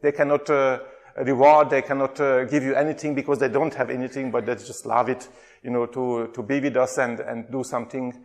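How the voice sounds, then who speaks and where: A man's voice: mid-range voice, flat delivery, a little fast. A middle-aged man with a Hungarian accent giving a workshop talk, hesitant and chatty, putting questions to the audience. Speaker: workshop presenter, theology lecturer.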